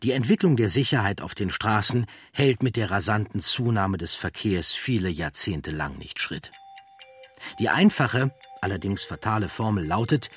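A man reading scripted documentary narration in a measured, factual way. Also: on the low side.

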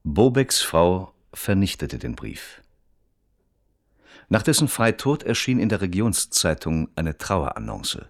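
An actor playing a detached reporter who narrates a crime case in a radio play, formal and matter-of-fact. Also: male voice, low-pitched, sing-song, slow pace, vocal fry, no echo, clean studio sound, full character voice. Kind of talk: scripted narration.

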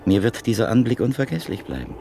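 A man with a deep, gravelly, somewhat husky voice narrates a radio play. He reflects on what he saw, with a lively rise and fall in his pitch. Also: fast talker, over music. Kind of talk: 1950s radio drama acting.

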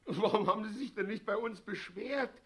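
A man's voice: high-pitched, brisk, bright, forceful tone, husky, full character voice. An older man acting in a German radio play as an evasive, obsequious old father and official who falters as he speaks. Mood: alarmed, fawning.

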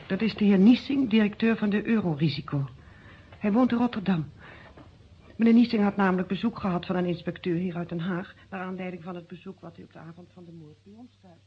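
A woman's voice: medium pitch, breathy edge. A woman acting a worried, earnest wife in a radio detective play, speaking politely and anxiously. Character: worried, pleading wife of an accused man. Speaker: actress in a radio detective serial. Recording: dull, muffled old radio recording.

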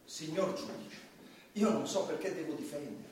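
A man's voice: on the high side, moderate pace, a little mellow, lightly acted. A man playing a beleaguered, defiant comedian defending himself in a stage courtroom drama, arguing insistently and pleading. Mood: frustrated, pleading. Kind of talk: theatrical courtroom stage acting in Italian. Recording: echoey distant stage, faint noise.

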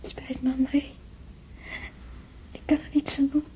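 Natasja, a high, whispery anxious young woman. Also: little pitch movement, a little slow. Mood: tearful, anxious. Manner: hushed, breathy, fraught. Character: Natasja, anxious sorrowful young woman nursing dying man. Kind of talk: literary radio drama acting.

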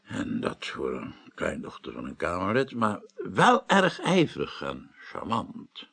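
Animated, creaky, patrician older man. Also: low voice, measured pace, full character voice. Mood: musing. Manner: imperious, genteel, emphatic. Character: patrician, authoritative older male parliamentary chief. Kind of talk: Dutch radio drama acting.

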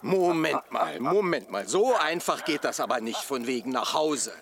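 An actor playing a fussy, blustering engineer who easily gets indignant, in a radio drama. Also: male voice, mid-range voice, lively intonation, normal speed, crowd noise, natural voice. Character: indignant, fussy male engineer, grandiose and calculating.

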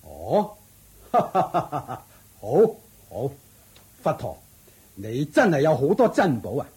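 A man's voice: mid-range voice, wildly swooping pitch, drawn-out words, a little mellow, breathy edge, full character voice. An actor playing a greedy, scheming, impatient father and lord in a Cantonese radio drama, exclamatory and wheedling, with a Hong Kong Cantonese accent. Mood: eager, greedy.

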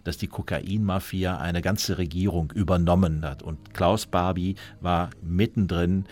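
A man with a deep voice speaks as an expert commentator. He explains matters in the style of a documentary interview. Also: fairly even intonation, measured pace, a little mellow.

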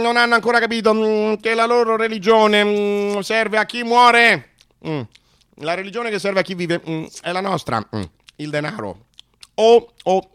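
An actor playing a crude, paranoid anticommunist strongman in a satirical radio monologue, halting and repeating himself as he mutters and erupts. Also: high-pitched, creaky, bright, forceful tone, smooth, full character voice. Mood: cynical, irritated. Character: crude paranoid right-wing Latin American anticommunist strongman, cynical, scornful.

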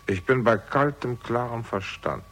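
An actor playing a cold, self-righteous, composed killer with a curt, unyielding manner in a 1960s German radio crime drama. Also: male voice, medium pitch, unhurried, a bit bright. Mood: cold, defensive.

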